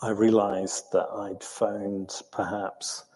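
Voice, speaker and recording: An older man recounting his own life in an interview, speaking reflectively in a halting, thoughtful way with long hesitations. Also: lowish voice, level tone, mellow, breathy edge. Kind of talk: reflective storytelling in conversation. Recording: roomy hall with long reverb.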